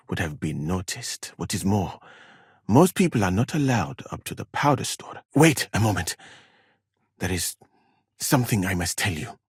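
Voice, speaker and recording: Husky, expressive learned medieval physician. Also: male voice, lowish voice, dry recording, clean studio sound, heavily acted. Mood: calm, thoughtful. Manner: measured, formal, deliberate phrasing. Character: courteous learned foreign physician in medieval Europe, calm and thoughtful. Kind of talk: video game voice acting.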